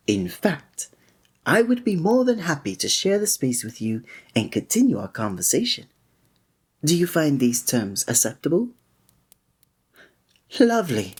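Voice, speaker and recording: A man's voice: medium pitch, breathy edge. A man playing a flirtatious, courteous innkeeper in a fantasy village, speaking formally in a scripted ASMR roleplay addressed to the listener. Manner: formal, old-fashioned, teasing, suave diction.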